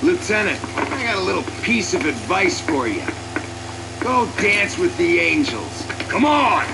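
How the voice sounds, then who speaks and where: An actor playing a cocky, defiant soldier in a video game cutscene, taunting with a mocking swagger. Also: male voice, high-pitched, big pitch swings, measured pace, gravelly, very noisy, exaggerated voice. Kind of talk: dramatic game cutscene dialogue.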